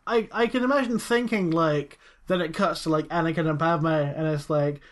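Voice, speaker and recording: Guy cohosting a podcast, kinda high voice, dry puns, lots of ums. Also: moderate pace, slight background noise. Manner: dry jokey quips, wordplay, many ums and stammers. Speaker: podcast co-host. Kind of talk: casual chat.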